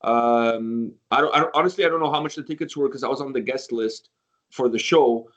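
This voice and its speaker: A man's voice: medium pitch, a little fast, a bit bright. A man from Canada hosting a casual interview, chatty and rambling with plenty of filler words.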